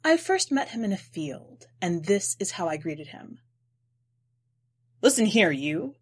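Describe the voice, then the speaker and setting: Clear-voiced woman reading literary narration. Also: on the low side, sing-song, moderate pace, a little mellow. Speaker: podcast editor and story reader.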